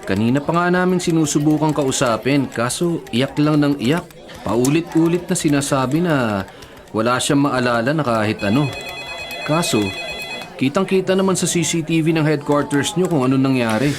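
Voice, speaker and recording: A man playing a stern, blunt police investigator with a Filipino accent, speaking deliberately in a radio drama. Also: a little high, a crowd in the background, natural voice. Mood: grave, blunt. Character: stern, matter-of-fact adult male police investigator. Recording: dramatic music behind. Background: music.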